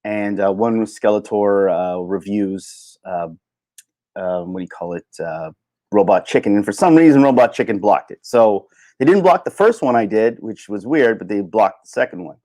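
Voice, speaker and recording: A man hosting a solo livestream in casual chat, rambling and halting as he thinks aloud. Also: on the low side, lively intonation, mellow. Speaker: livestream host.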